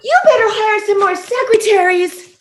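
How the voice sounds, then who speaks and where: Switchboard secretary, female actor, very high-voiced and harried, radio comedy, echoey with music behind. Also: moderate pace. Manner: repetitive clipped telephone greeting. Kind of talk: old-time radio comedy acting.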